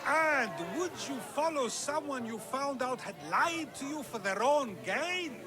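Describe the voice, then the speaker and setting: An actor playing a wise, wry ancient philosopher in a video game, with a measured, questioning, rhetorical delivery. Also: male voice, extremely high pitch, animated. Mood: impassioned oratory. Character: Socrates, wise wry ancient Greek philosopher, persuasive orator. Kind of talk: theatrical video game dialogue. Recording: music behind the voice.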